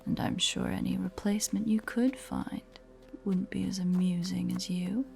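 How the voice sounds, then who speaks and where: A woman playing a predatory, teasing spider-woman monster in a horror audio roleplay, with a breathy, whispery voice and a taunting, menacing manner. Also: medium pitch, steady tone, mellow, faint music behind. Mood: playful menace. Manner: slow, taunting, playfully sinister.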